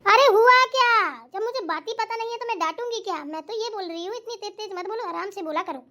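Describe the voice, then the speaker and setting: A woman's voice: very high-pitched, quick pace, pushed and bright. A woman playing a bossy, scolding mother-in-law who lectures and chides in a family comedy skit. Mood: stern, lecturing. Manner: chiding, lecturing.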